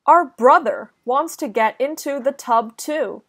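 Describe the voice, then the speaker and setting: A young woman reading a children's story aloud, enunciating carefully and deliberately for young listeners. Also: sits high, a bit bright, husky. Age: young adult. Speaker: children's channel host and story reader. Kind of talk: slow, clear children's read-aloud.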